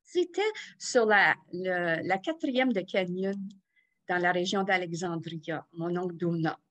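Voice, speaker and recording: A woman's voice: on the low side, unhurried. Older woman reminiscing. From Cornwall, Ontario. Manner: warm storytelling with laughs and restarts. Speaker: interview guest, community association president. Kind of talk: casual remote interview.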